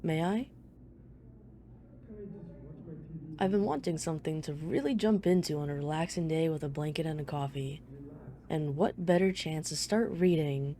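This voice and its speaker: Woman playing a low, mellow, teasing female mafia boss. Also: normal speed, lightly acted. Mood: coolly teasing. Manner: playful, smug, flirtatious banter. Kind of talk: ASMR roleplay, one-sided dialogue.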